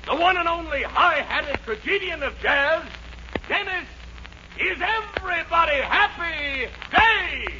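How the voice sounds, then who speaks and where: An actor playing an excitable, declamatory bearer of announcements on a 1940s radio comedy. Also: male voice, extremely high pitch, unhurried. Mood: showy. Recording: muffled old radio recording, faint noise.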